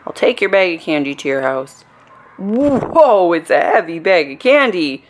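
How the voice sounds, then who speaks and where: A woman's voice: on the low side, sing-song, unhurried, a little hiss or hum. A woman voicing a blustering male policeman in a storybook, stern, incredulous and boastful. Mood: stern, grudging.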